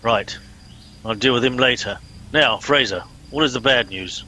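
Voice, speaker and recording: A man playing a pompous middle-aged captain in a comedy radio play, brisk, officious and commanding. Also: mid-range voice, pushed and bright, slight background noise, close to an everyday voice. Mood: exasperated.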